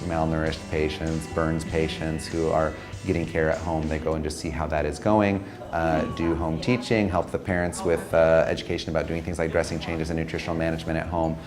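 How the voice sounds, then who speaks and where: A middle-aged man explaining hospital work as a medical director in an interview. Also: extremely low pitch.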